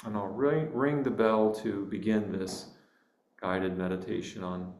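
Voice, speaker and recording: Male meditation guide. Very slow, gentle delivery with space between phrases, mid-pitched, recorded in an echoing room. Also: fairly even intonation. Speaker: meditation teacher.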